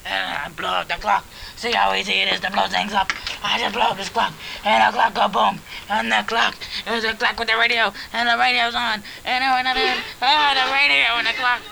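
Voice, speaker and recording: A man's voice: medium pitch, a little slow, gravelly, pauses often, pushed and bright, a little hiss or hum, exaggerated voice, rough recording. Man putting on a blustering Soviet villain. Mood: frantic. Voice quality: put-on broken Russian-style English. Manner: boastful, rambling, bursts into giggles. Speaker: comedy character on a home tape. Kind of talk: improvised home tape skit.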